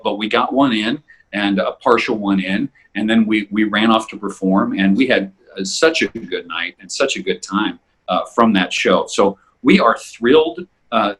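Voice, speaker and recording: Halting man with a husky voice. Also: on the low side, a bit bright, poor audio. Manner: halting, searching for words, repeats himself. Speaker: podcast host. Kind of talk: casual conversational introduction.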